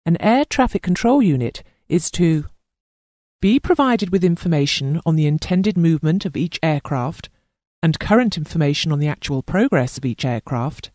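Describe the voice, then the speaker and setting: Instructional narrator, mid-pitched with wide intonation, formal and measured, for scripted training modules. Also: darkish tone, dry recording, studio quality.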